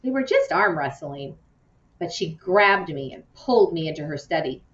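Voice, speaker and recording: A woman's voice: low voice, very animated, normal speed, fairly bright tone. A woman voicing a hurt, indignant young girl from a storybook, urgent and aggrieved. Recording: muffled, roomy, distant from mic.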